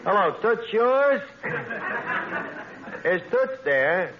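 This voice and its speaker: Brash cheapskate new york tavern manager, 40s radio comedy, very swoopy higher voice, dull noisy old recording. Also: male voice, big pitch swings, drawn-out words, crowd noise, heavily acted. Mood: haggling. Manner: mangled grammar with pretentious fancy words, hemming. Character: brash scheming lowbrow tavern manager, cheap would-be playboy. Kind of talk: 1940s radio comedy acting.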